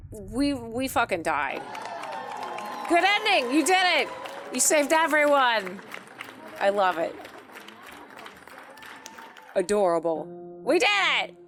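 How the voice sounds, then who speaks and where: A woman's voice: on the high side, very animated, slow pace, pauses often, a bit bright, a faint crowd. A woman hosting a casual let's play, chatting and reacting aloud in a playful, exclamatory way. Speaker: gaming video host. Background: game music.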